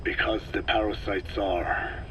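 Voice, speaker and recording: An actor playing a very old male scientist with a calm, grave temper, whispering in slow, formal sentences. His voice sits low and never hurries. The cassette-tape recording carries a clear hiss. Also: close to an everyday voice. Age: older. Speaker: scientist giving instructions. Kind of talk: video game cassette tape dialogue.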